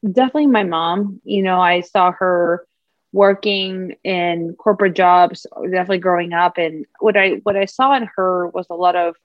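A woman's voice: lowish voice, normal speed, no room sound. A woman from Peru, a career coach, answering interview questions in an earnest, reflective way. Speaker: career and executive leadership coach. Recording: dull lo-fi dry sound.

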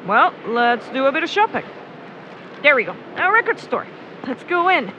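A woman's voice: sits high, sing-song, normal speed, dull audio, a little hiss or hum. A woman playing a friendly, teasing young man in casual, naturalistic audio drama dialogue. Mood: upbeat, persuading. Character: friendly, teasing young man, camera-loving travel companion.

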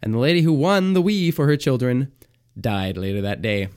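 A man with a raspy voice co-hosting a comedy podcast, chatty and explaining things with lots of fillers. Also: mid-range voice, big pitch swings, normal speed, darkish tone. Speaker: podcast co-host. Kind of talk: casual comedic chat.

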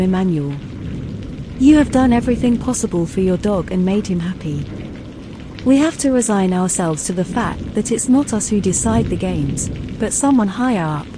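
A man voicing a gentle, consoling young woman in an audiobook, speaking softly and tenderly. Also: high voice, background noise, pitched above the performer's own voice. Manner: soft, tender, soothing. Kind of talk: audiobook character voice.

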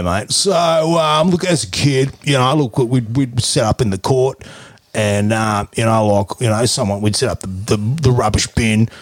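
A man's voice: mid-range voice, no room sound, lightly acted. A man with an Australian accent playing a middle-aged, boastful, foul-mouthed tradie dad who rambles with fillers and swears constantly. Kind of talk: comedy podcast character interview.

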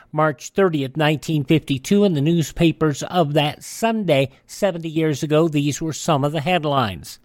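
A man's voice: on the high side, no room sound, clean studio sound. An American man hosting a radio show, presenting and reading news items. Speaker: radio host.

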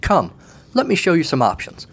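A man voicing an oily, grandiose fire salesman creature in a comic audio story, pitching with theatrical flourish. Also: huge pitch swings, normal speed, a bit bright, breathy edge, full character voice. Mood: theatrical salesy.

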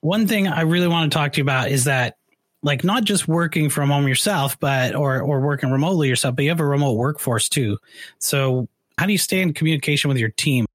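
A man's voice: a little high, brisk, no room sound, studio quality. A man hosting an interview, talking in an easygoing, hedging way and asking questions. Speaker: interviewer, podcast host. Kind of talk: casual interview chat.

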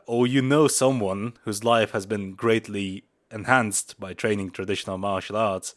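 A young man from Buryatia in Russia, a native Mongolian speaker, hosting a podcast in a rambling style full of fillers and restarts. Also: on the low side. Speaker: podcast host. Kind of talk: casual podcast intro.

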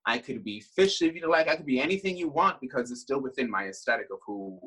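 A man with a Puerto Rican accent, a drag queen guest chatting in an interview with a sassy, animated manner. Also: mid-range voice, fast, slightly rough. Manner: sassy, animated, swears freely. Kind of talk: casual interview chat.

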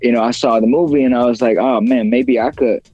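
A man's voice: medium pitch, steady tone, brisk, dark tone, slightly rough, dry recording, lo-fi sound, soft background music. A young man from China, a rapper as interview guest, talking casually in a rambling way with many fillers and restarts.

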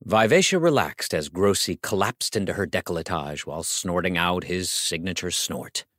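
Male audiobook narrator, deep pitch, highly expressive, wry theatrical comic storytelling, savored phrases, dry close recording. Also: moderate pace, no echo.

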